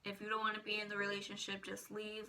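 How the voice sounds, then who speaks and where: A woman's voice: mid-range voice, completely flat delivery, quick pace, pushed and bright. An eighteen-year-old woman, a native Spanish speaker, chatting casually to viewers as a vlogger. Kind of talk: casual personal chat to viewers.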